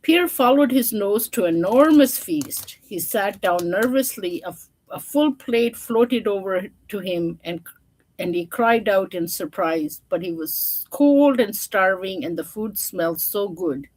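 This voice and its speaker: A woman reads a children's picture book aloud at a slow, unhurried pace. She moves between narration and casual explanation, chatting to her listeners about the pictures. Her pitch sits in a middle range for a woman. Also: expressive, darkish tone, rough recording. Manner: informal, chatty asides to listeners, showing pictures. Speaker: storyteller.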